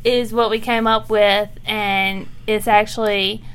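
A young woman with a squeaky, loud voice, chatting frankly with her husband at home at night and firing quick comebacks. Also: flat delivery, clear, resonant tone. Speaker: podcast co-host. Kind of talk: casual chat with her husband. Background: faint baby monitor hiss.

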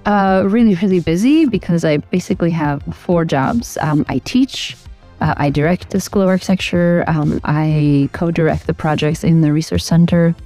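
A woman's voice: low-pitched, normal speed, dark tone, soft background music. A middle-aged woman from Albania, answering interview questions thoughtfully with many fillers and restarts. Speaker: architect and program director, guest.

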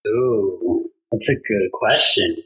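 A man's voice: lowish voice, slow pace, fairly bright tone, breathy edge, low-quality recording. A man in his thirties from Colombia, chatting casually as a podcast guest, rambling and laughing often. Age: 30s. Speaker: podcast guest, background artist. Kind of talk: casual chat.

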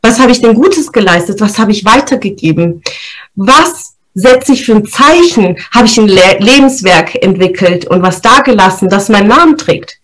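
A woman's voice: mid-range voice, normal speed. A woman, hosting a podcast and giving a motivational talk on setting goals, explaining step by step and checking in with her listeners. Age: 30s. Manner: lively, explains step by step with frequent "ja?" check-ins. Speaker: podcast host.

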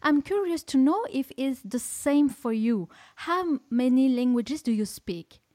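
A woman's voice: high voice, expressive, a little slow, a little mellow, dry recording. French woman hosting in English, dry sound. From France. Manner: encouraging, non-native phrasing with small slips. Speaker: podcast host. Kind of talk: casual interview in English.

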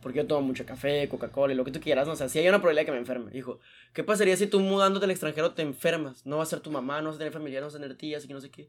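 A young man from Mexico, chatting casually as a podcast host, slangy and animated. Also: fairly high pitch. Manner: slangy, animated, fast storytelling. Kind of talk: casual chat.

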